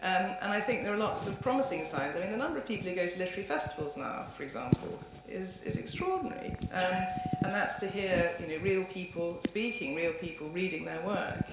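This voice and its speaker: A woman's voice: lowish voice, animated, fairly quick, hardly any pauses. A woman lecturer answering audience questions in a thoughtful, discursive, hedging way. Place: indoor lecture hall. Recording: roomy lecture hall, faint background noise.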